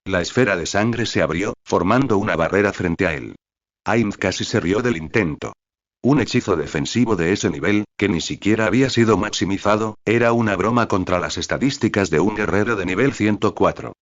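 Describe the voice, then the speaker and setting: Low-voiced male narrator, dry and muffled. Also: fairly quick, no room sound. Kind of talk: audiobook reading of fan fiction.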